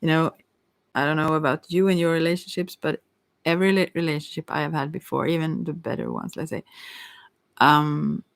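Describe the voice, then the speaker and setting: A tarot reader talking casually and hesitantly, thinking aloud while answering questions, in a soft voice that at times drops to a whisper. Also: mid-range voice, fairly quick, gravelly. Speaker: tarot reader hosting a live reading.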